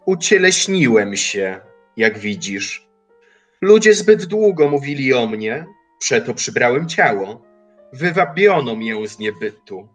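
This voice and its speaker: An actor playing Time personified as a mocking, lofty old man in an audio drama, speaking slowly in an archaic manner. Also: medium pitch, sing-song, a bit bright, faint music behind, exaggerated voice. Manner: slow, measured, archaic speech.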